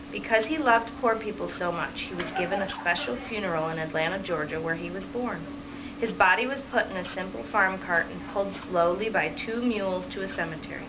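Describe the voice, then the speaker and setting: A woman reads aloud to children as a teacher would, asking questions and encouragingly repeating their answers. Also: mid-range voice. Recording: dull, lo-fi home recording with clear background noise.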